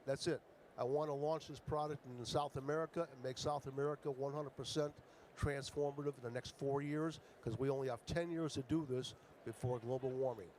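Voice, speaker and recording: Halting man giving a startup pitch. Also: steady tone, darkish tone, slight background noise. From Ecuador. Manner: halting, many fillers and restarts. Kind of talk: conference pitch.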